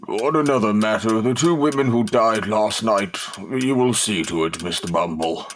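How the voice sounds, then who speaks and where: Callous period gentleman played by a man, low pitch, blunt, unfeeling, faint noise. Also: moderate pace, lightly acted. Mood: cold, blunt. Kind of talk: period audio drama acting.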